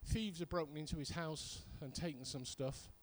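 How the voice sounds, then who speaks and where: A man's voice: on the high side, moderate pace. A middle-aged British man giving a sermon, telling stories conversationally and repeating points for emphasis. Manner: conversational storytelling with emphatic repetition. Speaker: preacher. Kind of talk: sermon with personal anecdotes.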